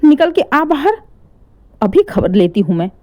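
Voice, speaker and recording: A woman voicing an angry middle-aged mother who scolds and shouts at her son. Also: on the high side, sing-song, a little slow. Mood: furious.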